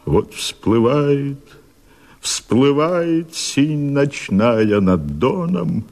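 An actor playing a doomed, anguished rebel leader, declaiming verse impassioned and drawn out in a radio play. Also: male voice, on the low side, vocal fry, a little mellow, breathy edge, exaggerated voice. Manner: impassioned, drawn-out, tragic delivery. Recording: long reverb, music behind.

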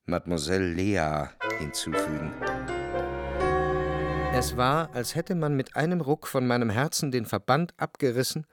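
An actor playing a jealous, brooding narrator who speaks in measured, reflective long sentences in a literary radio drama. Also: male voice, medium pitch, animated, loud music behind the voice, lightly acted. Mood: stricken, recollecting. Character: jealous, brooding male narrator, introspective and anxious.

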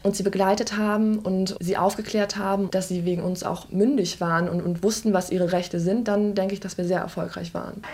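A young woman in her twenties answers interview questions at a steady middle pitch. She speaks in a measured, reflective way and often pauses on small fillers as she gathers her thoughts. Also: faint music behind. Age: 20s. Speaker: co-founder of a student legal aid group. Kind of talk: interview statement.

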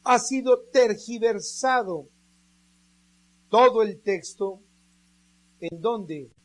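An unhurried radio preacher. The delivery is emphatic and drawn out, and the voice sits in a middle pitch. The sermon leans on rhetorical questions and direct appeals to the listeners. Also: poor audio.

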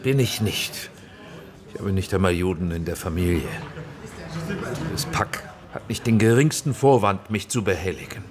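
An actor playing a troubled, proud film director in a radio drama, formal, evasive and uneasy. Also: male voice, sits low, lively intonation, normal speed, a crowd in the background. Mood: indignant.

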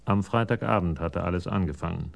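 An actor narrating a police radio drama in a measured, literary storytelling manner. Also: male voice, deep voice, creaky. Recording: music under the voice.

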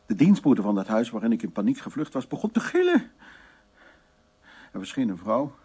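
An actor in a radio play playing a brusque art restorer who mutters and repeats himself hesitantly. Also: male voice, mid-range voice, wildly swooping pitch, moderate pace, mellow, breathy edge, full character voice. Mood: agitated recollection. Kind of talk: Dutch radio drama acting, dialogue and soliloquy.

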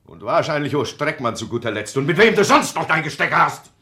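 A man's voice: a little high, very animated, a little fast, bright, forceful tone. An actor playing a wounded, angry, bitter village man in a German radio play, accusing and volatile. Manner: accusing, explosive, then subdued. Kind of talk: German radio play, emotional stage acting.

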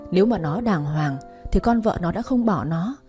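Một phụ nữ đóng bà mẹ trung niên giận dữ. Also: female voice, animated, moderate pace, mellow, faint music behind, natural voice. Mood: furious. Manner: shrill, harsh scolding. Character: furious middle-aged mother scolding daughter.

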